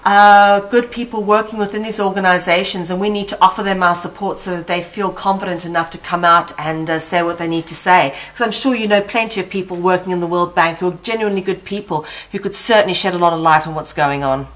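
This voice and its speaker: A woman from South Africa with a South African accent, conducting a conversational interview in an effusive, slightly halting way. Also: on the low side, fairly even intonation, a bit bright.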